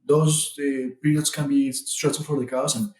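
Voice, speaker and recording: This man grew up in Colombia and talks through his research in a careful, explanatory way. He circles back and restarts phrases as he thinks. His voice sits at a middle pitch and carries a roomy echo. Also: little pitch movement, a little mellow. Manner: measured, explanatory, many restarts and fillers. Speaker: guest researcher. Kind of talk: interview answers.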